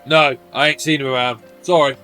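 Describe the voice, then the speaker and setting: A man voicing a folksy, rough-spoken hunter from a fantasy game, plain and colloquial. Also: mid-range voice, steady tone, brisk, sharp tone, faint music behind, natural voice. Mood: gruffly helpful. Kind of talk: reading game dialogue in character.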